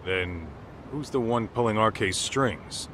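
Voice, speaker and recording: An actor playing a rough, foul-mouthed, streetwise sidekick in a video game dub, talking bluntly. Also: male voice, fairly low pitch, takes it slowly, noisy background. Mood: gruff, irritated. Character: rough, foul-mouthed male tough guy, detective's loyal sidekick.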